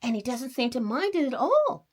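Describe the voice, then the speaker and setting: Woman as an animated, encouraging mother. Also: high voice, normal speed, pitched above the performer's own voice. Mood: admiring. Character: calm, encouraging mother of a young boy.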